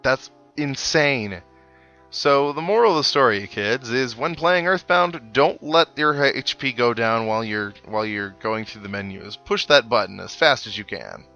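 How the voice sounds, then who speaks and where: A man with a medium-pitched voice talks casually through a video game he is playing. His delivery is wry and sarcastic, with a mock-exasperated edge. Game music plays clearly behind him. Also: expressive, measured pace, sharp tone, highs cut off. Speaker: host of a video game playthrough. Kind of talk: casual gameplay commentary.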